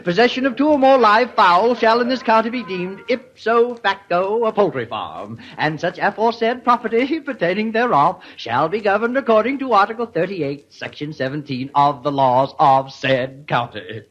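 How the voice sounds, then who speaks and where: A man's voice: high-pitched, lively intonation, normal speed, hardly any pauses, a bit bright. A man playing a pompous, officious county official in a 1940s radio comedy, reciting in over-formal style. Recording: muffled old radio show recording.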